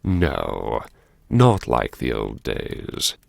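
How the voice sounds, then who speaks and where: A man playing a brooding, wry academic who talks to himself in an intimate, sardonic audiobook monologue full of regret, with a gravelly voice. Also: extremely low pitch, wildly swooping pitch, no echo, clean studio sound, full character voice. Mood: self-reproachful, musing. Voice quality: gravelly, creaky. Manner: sardonic, musing, self-reproachful, with sighs and pauses. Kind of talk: first-person audiobook monologue, intimate dramatic narration.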